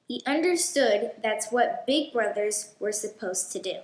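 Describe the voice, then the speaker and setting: A woman hosting a children's read-aloud, telling a storybook in a deliberate, expressive way. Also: a little high, echoey room.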